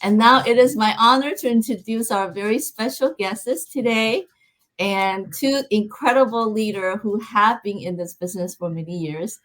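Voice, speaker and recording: A middle-aged woman from Taiwan hosting a live online interview, speaking in measured, scripted-sounding introductions with some fillers. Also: medium pitch, fairly bright tone, poor audio. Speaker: host.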